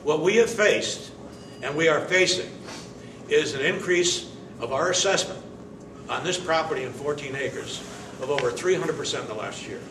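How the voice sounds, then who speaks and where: An older man making an emphatic, indignant statement at a press conference, pausing deliberately between phrases, heard from across an indoor room. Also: fairly high pitch. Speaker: managing member of the facility's owners. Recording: roomy, distant, noisy camera audio.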